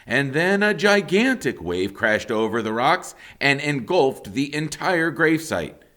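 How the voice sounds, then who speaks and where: A man narrating a children's story aloud like a teacher, with expressive, deliberate dramatic emphasis. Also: fairly high pitch.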